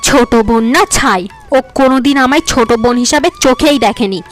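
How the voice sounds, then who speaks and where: A scheming young wife in a cartoon, jealous and sharp-tongued, voiced by a woman. She speaks high and cutting, with a bed of soft music behind. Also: brisk, natural voice. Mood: scornful. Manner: sharp, accusing.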